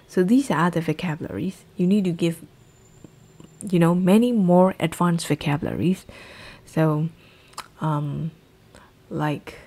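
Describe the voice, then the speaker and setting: A Burmese-accented woman in her thirties teaches an English exam lesson in a lowish, warm voice. She says key phrases slowly and repeats them so the class can copy them. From Myanmar.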